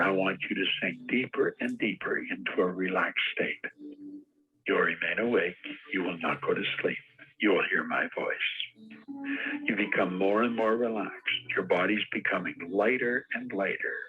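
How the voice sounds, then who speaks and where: A man's voice: low-pitched, narrow, tinny audio. A man leading a guided meditation, speaking in a soothing, repetitive, hypnotic way.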